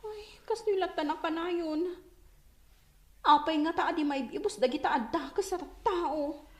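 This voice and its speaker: A woman's voice: very high voice, unhurried. A woman playing an impatient, aggrieved young village daughter in a radio drama, with a complaining, plaintive delivery. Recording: echoey room.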